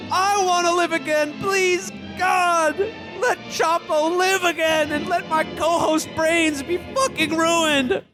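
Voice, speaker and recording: Man playing a very high-pitched, melodramatic podcaster. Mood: desperate. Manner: stammering, melodramatic. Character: distraught, melodramatic podcaster shown a world without him. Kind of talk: comedic radio play acting.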